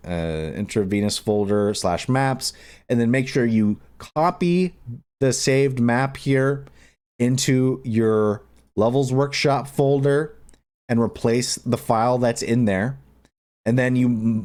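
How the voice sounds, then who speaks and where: A man's voice: mid-range voice, a little mellow, smooth. A man giving a casual screen-recorded game modding tutorial, speaking informally in a rambling way and repeating himself. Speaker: video tutorial host.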